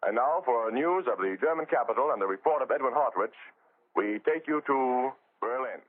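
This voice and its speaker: A man's voice: mid-range voice, animated, moderate pace. A man anchoring a 1940s radio news broadcast, reading the bulletins in period style. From United States. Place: radio studio. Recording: tinny, narrow-band 1940 radio recording.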